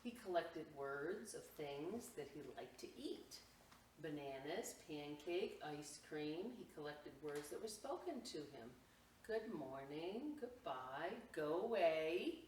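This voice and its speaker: A woman with a slightly low voice reads a children's picture book very slowly and expressively. She pauses and explains things simply for young listeners. The recording is roomy and echoing. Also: darkish tone. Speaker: library storytime reader. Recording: roomy, distant mic, faint noise.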